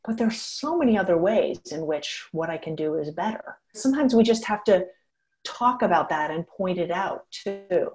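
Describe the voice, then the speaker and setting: A woman's voice: fairly low pitch, normal speed. A woman in her fifties, a geriatrician and author, answering interview questions frankly and with energy, laughing now and then as she talks. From United States. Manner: frank, animated, laughs while talking.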